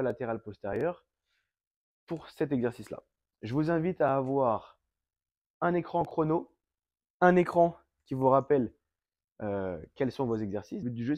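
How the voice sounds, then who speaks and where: Un coach sportif français enrhumé qui explique pas à pas un circuit d'entraînement à la maison, en parlant directement aux spectateurs et en s'essoufflant vite. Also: male voice, medium pitch, a little mellow, no echo. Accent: French. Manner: explains step by step, addresses viewers directly. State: has a cold, breathes through the mouth, gets out of breath fast. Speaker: fitness coach. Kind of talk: home workout instruction. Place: fitness studio.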